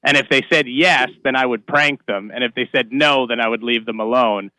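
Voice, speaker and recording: A man's voice: mid-range voice, brisk, sharp tone, dry recording, poor audio. A man from Canada, a comedian, telling stories casually as an interview guest. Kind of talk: casual storytelling chat.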